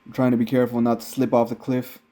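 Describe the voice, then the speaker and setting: An American man, his accent slightly tinged Filipino, giving relaxed, rambling vlog commentary from inside a car while driving mountain roads. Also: mid-range voice, fast talker. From United States. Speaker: vlogger. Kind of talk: casual driving vlog narration.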